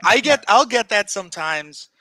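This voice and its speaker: American dude rambling casually, super bright sharp voice, dry sound. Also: male voice, high-pitched, moderate pace, dry recording. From United States. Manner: relaxed rambling, lots of "you know what I mean", swearing. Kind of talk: casual chat.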